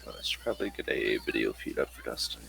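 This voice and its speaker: Low-voiced man running a tabletop game. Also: big pitch swings, creaky, pushed and bright, a little hiss or hum. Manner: halting, searching for words. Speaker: game master. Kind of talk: improvised narration of a tabletop roleplaying game.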